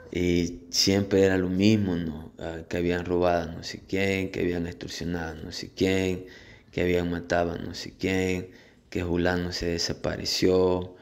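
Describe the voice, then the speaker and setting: Low, flat-voiced Salvadoran man. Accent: Salvadoran Spanish. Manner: reflective, conversational, tags sentences with questions. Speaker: vlogger. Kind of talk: casual vlog monologue. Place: outdoors, alone.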